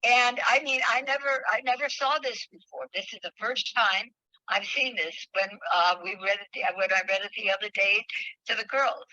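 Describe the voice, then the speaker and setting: Bright-voiced woman sharing devotion, dull audio. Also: fairly high pitch, normal speed, no room sound. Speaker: spiritual leader. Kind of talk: devotional reading and heartfelt group sharing. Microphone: phone on a group call. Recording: dull, dry online call audio.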